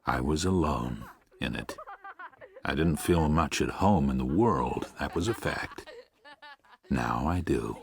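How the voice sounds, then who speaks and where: Man playing a gentle old preacher, really deep and slow, musing and tender, husky, audiobook style. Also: expressive, heavily acted. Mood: reflective. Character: gentle, reflective 76-year-old Midwestern preacher writing to his son. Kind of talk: first-person audiobook narration with acted dialogue.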